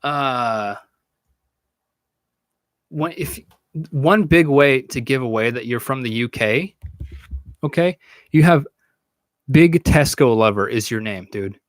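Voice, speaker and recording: A man's voice: medium pitch, expressive, takes it slowly, long pauses, no echo. Crude, joking young American man, dry close mic. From United States. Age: young adult. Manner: crude, joking, swears a lot. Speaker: podcast host answering chat. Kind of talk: casual livestream chat. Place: small room with computers. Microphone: studio mic on a stand in front.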